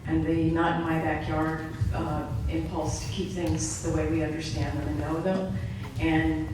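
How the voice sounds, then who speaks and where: Flat low-voiced woman at a meeting. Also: unhurried. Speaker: meeting participant. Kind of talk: speaking at a public meeting. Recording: echoey hall, distant, noisy.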